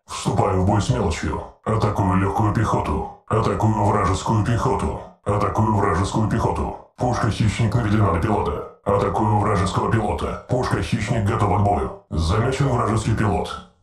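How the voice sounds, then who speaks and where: A man's voice: lowish voice, normal speed, natural voice. A man voicing a male heavy war robot in a dubbed game, giving terse military status reports. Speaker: game voice actor. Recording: roomy reverb, distant, music behind.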